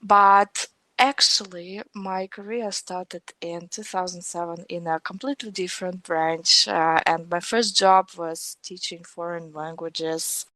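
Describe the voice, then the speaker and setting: A woman's voice: on the low side, steady tone, measured pace, sharp tone, dry recording. A woman in her thirties with a Russian accent, talking conversationally as an interview guest. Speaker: podcast guest, technical trainer.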